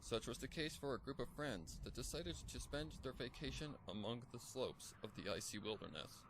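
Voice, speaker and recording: A man's voice: medium pitch, animated, moderate pace. A man reading a scripted story as a dramatic narrator. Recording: faint noise and ambience behind.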